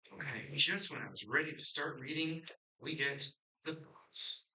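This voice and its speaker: A man's voice: on the high side, takes it slowly, pushed and bright, low-quality recording. A man from Connecticut moderating a student quiz competition, chatting loosely with fillers and then reading questions out steadily.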